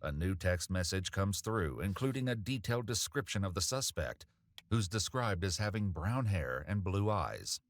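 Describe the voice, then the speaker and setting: A man's voice: sits low, expressive, normal speed, slight background noise. A man reading a scripted true-crime narration about police footage as the narrator.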